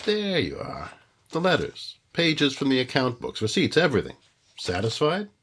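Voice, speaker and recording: An actor playing a cocky, impatient small-time blackmailer in an old-time radio thriller, sarcastic and tough-talking. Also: male voice, mid-range voice, wildly swooping pitch, normal speed, fairly bright tone, slight background noise. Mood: impatient, suspicious.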